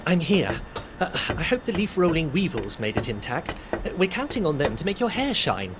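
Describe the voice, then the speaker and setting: Meek, swoopy-voiced insect nerd. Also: male voice, fairly high pitch, sing-song, moderate pace, very noisy. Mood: timid, earnest. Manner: hesitant, earnest, pedantic. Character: meek, nerdy insect man, fond of bugs. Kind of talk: audio drama acting.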